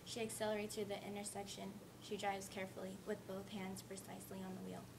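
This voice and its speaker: A woman reading a novel excerpt aloud in a careful, steady narration. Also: quick pace, creaky. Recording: rumbling background noise.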